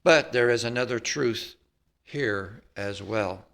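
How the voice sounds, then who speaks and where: A man reading a prepared text at a church service, with measured, emphatic phrasing. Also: medium pitch, gravelly, a bit bright. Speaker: service reader.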